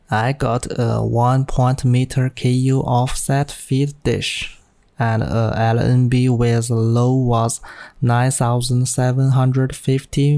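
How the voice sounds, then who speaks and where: A man with a Chinese accent, a native Chinese speaker, presents technical material from a script. His voice is dark and mellow, with very little rise and fall. He takes it slowly and reads in a stiff, careful manner. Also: mid-range voice. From China. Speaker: amateur radio operator giving a technical presentation.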